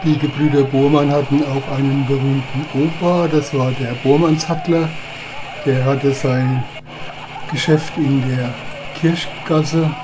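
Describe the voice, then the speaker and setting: A man's voice: medium pitch, level tone, unhurried, background noise. A man narrating old home movies in a chatty, reminiscing voice-over. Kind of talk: casual voice-over commentary. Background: background music.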